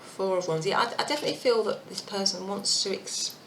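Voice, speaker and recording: A woman giving a casual tarot reading, thinking aloud with many fillers, her voice croaky and husky. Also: medium pitch, fast talker, fairly bright tone, a little hiss or hum. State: croaky voice, clearing throat. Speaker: tarot reader.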